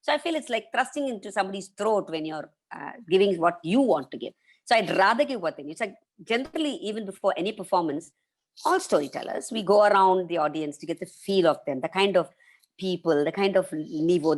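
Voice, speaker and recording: A woman with an Indian English accent, a professional storyteller chatting as a guest on a video call, animated, slipping into voices for the people she quotes. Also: fairly low pitch, fast talker. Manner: animated, acts out quoted voices, laughs often. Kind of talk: casual interview chat.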